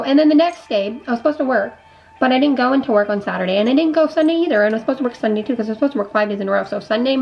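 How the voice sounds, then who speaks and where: A woman's voice: fairly high pitch, vocal fry, lo-fi sound. A young adult woman with a slightly stuffy, sick-sounding voice and a sore throat, giving a casual, rambling life update as a vlogger. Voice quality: slightly congested nasal voice. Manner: rambling, repeats and restarts thoughts. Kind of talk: casual chatty life update while doing makeup.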